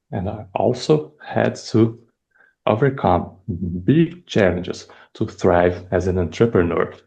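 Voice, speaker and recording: Slow, gravelly Brazilian man giving a speech. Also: mid-range voice, mellow. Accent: Brazilian Portuguese. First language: Portuguese. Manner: measured, deliberate delivery with pauses. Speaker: first-time club speaker. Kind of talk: prepared icebreaker speech.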